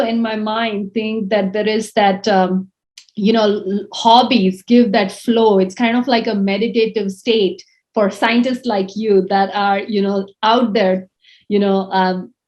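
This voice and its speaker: A woman's voice: medium pitch, fairly even intonation, unhurried, darkish tone. A young woman interviewing a guest, asking long, chatty questions full of "you know" and "like". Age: young adult. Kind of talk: conference interview.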